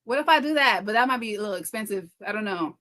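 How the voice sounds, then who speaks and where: A woman with a medium-pitched voice hosts an informal livestream. She reads comments aloud and answers them conversationally, tripping over words now and then and restating phrases for emphasis. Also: lively intonation, sharp tone. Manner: talks fast, stumbling and repeating herself. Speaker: livestream host and content creator. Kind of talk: casual live chat reading viewer comments aloud.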